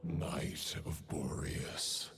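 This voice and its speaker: A solemn undead knight from a fantasy game, his voice extremely creaky and gravelly. He speaks slowly in formal, archaic phrases, stern and chivalrous, with music clearly behind him. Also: a bass voice, little pitch movement, fairly bright tone, full character voice. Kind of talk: dramatic video game acting.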